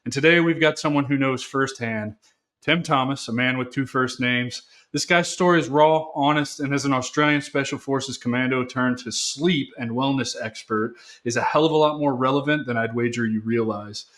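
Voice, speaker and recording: Guy hosting a vet podcast, punchy n casual, a bit salty, mid pitch voice. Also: normal speed, a bit bright. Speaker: podcast host. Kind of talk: podcast intro and interview.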